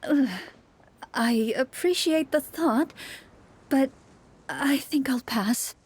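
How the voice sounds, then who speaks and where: A woman's voice: high-pitched, takes it slowly. A woman playing a game master who narrates a tabletop game and role-plays a worried townswoman. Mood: hesitant, troubled.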